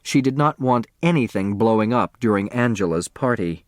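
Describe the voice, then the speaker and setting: A man reading a children's novel as an audiobook narrator, in an expressive scripted storytelling style. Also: fairly low pitch, normal speed, dry recording, studio quality.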